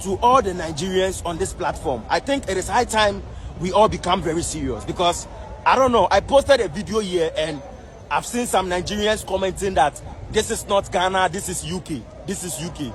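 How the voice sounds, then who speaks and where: A man's voice: high-pitched, animated, normal speed. A man talking straight to camera outdoors, repeating himself emphatically as he addresses viewers. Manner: repetitive, emphatic. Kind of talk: insistent direct-to-camera rant. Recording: noisy street with crowd.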